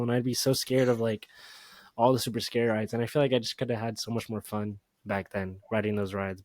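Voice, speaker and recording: A man's voice: mid-range voice, darkish tone, no room sound. A young man co-hosting a casual podcast, reflective and heavy on fillers like "like" and "you know". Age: young adult. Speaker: podcast co-host. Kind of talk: casual chat.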